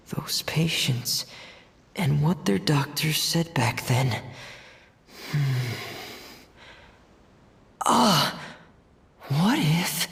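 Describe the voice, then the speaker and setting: Game lead: thoughtful young man, slow and deliberate, very husky and breathy. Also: on the high side, exaggerated voice. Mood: hushed pondering. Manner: calm, deliberate. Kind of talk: video game voice acting.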